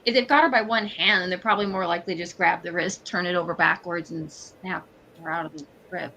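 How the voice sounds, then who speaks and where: A woman with a bright, forward voice takes part in a roleplaying game. She reasons through moves in a casual, conversational way. There is a faint noise on her line. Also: fairly low pitch, fairly even intonation, quick pace, low-quality recording. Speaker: player in a tabletop roleplay game. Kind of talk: casual tactical game chat.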